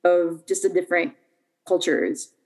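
A woman's voice: fairly low pitch, unhurried, a little mellow. A young adult woman from Puerto Rico, a podcast guest telling her life story in a casual, chatty way with lots of fillers and restarts.

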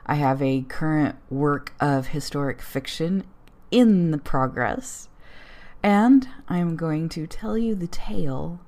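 A woman reading a story as its author, in a dramatic, measured and suspenseful narrating style. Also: sits low, lively intonation, darkish tone. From United States. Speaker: author reading own tale.

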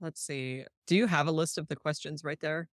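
A man's voice: fairly high pitch, fairly even intonation, fairly quick, dry recording. A man co-hosting a livestream in casual chat, with a lightly teasing, easygoing conversational way of talking. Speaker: livestream and podcast co-host.

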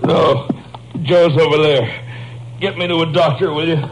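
An American actor playing a wounded, cornered kidnapper, whining and pleading in pain in 1950s radio drama style. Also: male voice, a little high, steady tone, moderate pace, heavy background noise, natural voice. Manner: whiny, pained. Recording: echoey vintage radio broadcast.

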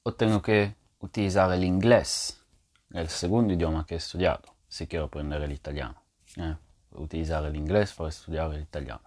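A man's voice: a bass voice, fairly even intonation, fairly quick, darkish tone. A man teaching as a podcast host, explaining step by step and thinking aloud, with frequent fillers and restarts. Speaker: language teacher.

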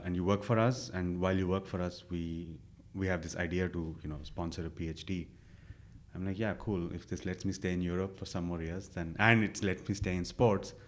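A young man from India, a guest in an interview, telling his story casually with many fillers and self-quotes. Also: low-pitched.

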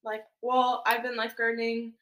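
A woman's voice: on the high side, level tone, moderate pace, fairly bright tone. A woman, co-hosting a casual chat and laughing often. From Wisconsin, USA. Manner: giggly, chatty, laughs often. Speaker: podcast co-host.

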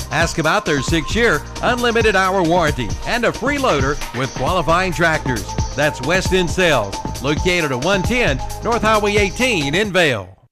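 A man reading a scripted radio commercial as an announcer. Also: a little high. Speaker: advertisement announcer. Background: music bed.

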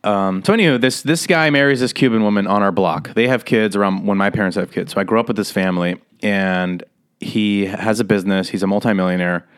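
A man's voice: fairly low pitch, brisk, smooth. A man in his thirties from Las Vegas, telling his story as a comedian guest in a casual interview, rambling, laughing and swearing as he goes. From Las Vegas, USA.

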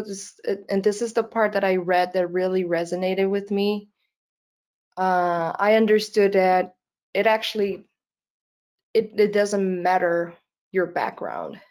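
A young woman from Brazil with a Brazilian Portuguese accent, giving a conference talk in a nervous way, with many fillers and restarts and frequent laughs. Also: on the low side. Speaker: conference speaker.

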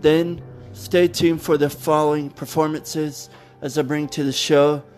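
A man hosting an old-time radio podcast, calmly wrapping up the episode and announcing upcoming shows in a deliberate way. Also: on the high side, soft background music. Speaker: podcast host. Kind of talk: casual podcast outro.

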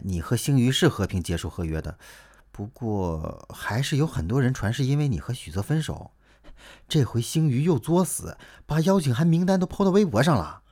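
Husky, animated talent agent. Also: male voice, on the low side, heavily acted. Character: earnest male talent agent, fussing and persuasive. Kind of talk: Chinese audio drama acting.

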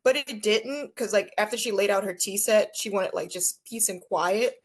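A woman's voice: mid-range voice, normal speed, husky. A young woman co-hosting a casual podcast, blunt and dry as she tells stories. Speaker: podcast co-host.

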